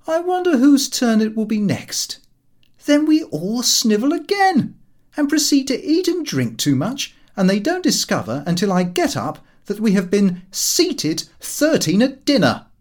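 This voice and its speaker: A man's voice: high voice, sing-song, measured pace, a little mellow, pitched above the performer's own voice. A man voicing a scornful, mocking young son in an audiobook story, declaiming with open disdain. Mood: scornful ranting.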